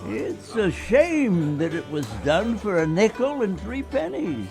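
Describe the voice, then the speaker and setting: A man's voice: high voice, very animated, a little slow, creaky, a little mellow, soft background music, exaggerated voice. A man playing an eerie, addled barfly in a radio play, rambling and exclaiming in a dazed way.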